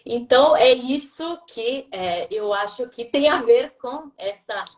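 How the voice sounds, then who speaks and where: A woman's voice: a little high, expressive, slow, reverberant. A woman from southern Italy with an Italian accent, a language school director, explaining things in a friendly way as a guest on a live stream, with frequent hesitations. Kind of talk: casual live-stream conversation. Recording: voice a bit far from the mic.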